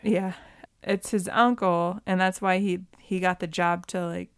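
A woman's voice: on the low side, steady tone, unhurried, clear, resonant tone, no echo, studio quality. A woman co-hosting a movie podcast, chatting casually and informally as she recaps a film. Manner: informal, conversational.

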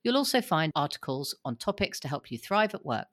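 A woman co-hosting a podcast, reading a promo and intro in a cheerful, clear way. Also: sits low, big pitch swings, fairly quick, fairly bright tone. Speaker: podcast co-host.

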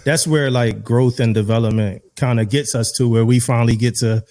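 A man in his thirties co-hosting a podcast, talking in relaxed slang with dropped verbs and casual swearing. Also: medium pitch, fairly quick. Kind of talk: casual chat.

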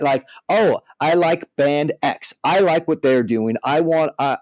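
A man's voice: fairly high pitch, darkish tone, no echo, poor audio. A man co-hosting a casual discussion, explaining his opinions step by step and checking in as he goes. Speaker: podcast co-host and band manager. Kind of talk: casual music industry discussion.

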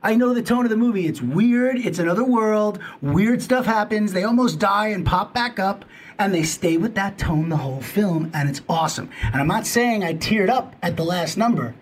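A man co-hosting a casual remote talk show, talking in an animated, excitable way. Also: high-pitched, moderate pace, slight background noise. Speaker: co-host. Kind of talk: casual chat.

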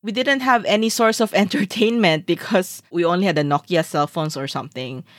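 A woman's voice: lowish voice, a little fast, no echo, studio quality. A woman in her thirties from the Philippines, chatting casually as a co-host and laughing often. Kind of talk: casual chat.

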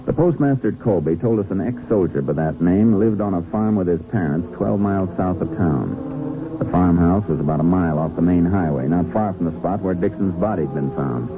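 An American actor playing a calm, methodical Texas Ranger in a 1950s radio western, terse, matter-of-fact and probing. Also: male voice, deep voice, brisk, over music, natural voice. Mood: narrating evenly. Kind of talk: 1950s radio western crime drama acting. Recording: muffled, narrow-band 1950s radio recording.

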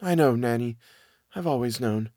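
A man's voice: medium pitch, normal speed, no echo, studio quality, pitched above the performer's own voice, lightly acted. Trembling young lady, read by a man. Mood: anxious. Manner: tense, hushed, trembling. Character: nervous, frightened young lady of the house.

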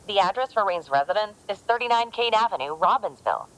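An actress playing a hero's worried, sardonic tech ally, brisk, skeptical and wry, in an audio drama. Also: female voice, medium pitch, expressive, fairly bright tone, soft background music, close to an everyday voice, rough recording. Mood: businesslike.

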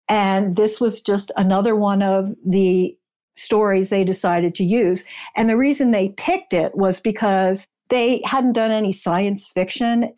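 A woman with a medium-pitched voice talks as an expert guest in an interview. She explains things in measured, informative detail, like someone sharing research. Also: a little mellow.

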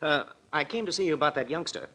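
An actor playing a proper, fussy gentleman in a 1940s radio drama, hesitant and well-spoken. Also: a little high, animated, quick pace. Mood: hesitant, grave.

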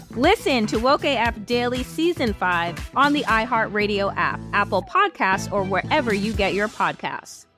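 A woman reading a scripted, upbeat promotional spot for a podcast. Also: a little high. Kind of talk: scripted ad read. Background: music.

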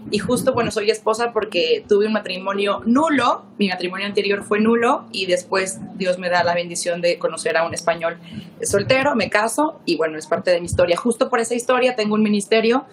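A woman's voice: mid-range voice, brisk, poor audio. Female panelist, 30s, Mexican accent, emphatic, faint background noise. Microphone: video call.

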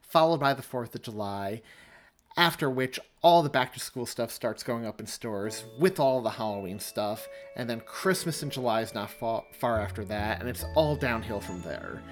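A man speaks as a podcast host, with a medium-pitched voice. He runs through announcements in a chatty, promotional style and keeps an enthusiastic, upbeat manner. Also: lively intonation, normal speed, faint music behind.

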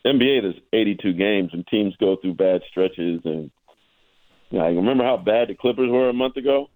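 Low-voiced man, halting basketball talk. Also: darkish tone, no echo, low-quality recording. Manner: halting, repeats words, often tags points with "right?". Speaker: sportswriter guest. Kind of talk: casual basketball discussion.

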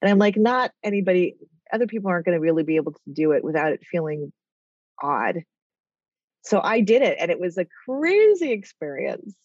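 A woman's voice: fairly low pitch, sing-song, a little fast, darkish tone, dry recording, muffled recording. Chatty, rambling woman with a nasal voice. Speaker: author and executive guest. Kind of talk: casual interview chat.

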